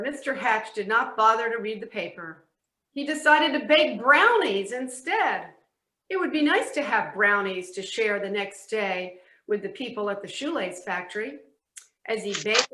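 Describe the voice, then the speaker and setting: A woman's voice: a little high, bright, forceful tone. A woman narrating a children's story for a readers theatre performance in an echoey room.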